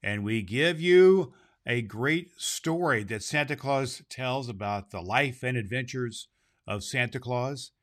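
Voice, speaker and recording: A man's voice: mid-range voice, slow pace, fairly bright tone. A man performs as a jolly old Santa Claus hosting a children's holiday show. He is theatrical and jovial, a little full of himself. He talks directly to his listeners and leans on repeated words for emphasis. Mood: jolly, booming.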